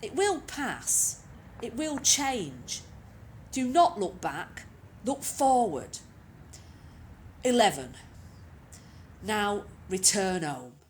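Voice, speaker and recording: Some ambient noise sits behind a woman reading fiction aloud. She speaks slowly and conversationally, as if straight to the listener, her pitch rising and falling expressively. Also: sing-song. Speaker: reader of short stories. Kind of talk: expressive scripted story reading. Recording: clearly noisy with background ambience.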